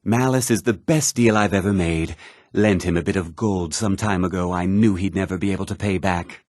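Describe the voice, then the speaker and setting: A man's voice: low voice, moderate pace, a little mellow, no room sound, clean studio sound. A man playing a fussy, irritable and self-important meadery owner in a video game, haughty and theatrically exasperated. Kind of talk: video game character dialogue.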